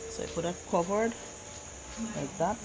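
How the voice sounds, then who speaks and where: Female cooking vlogger, Guyanese accent, low register, casual think-aloud chat, clearly noisy home recording with insects. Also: normal speed. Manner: thinks aloud, repeating phrases.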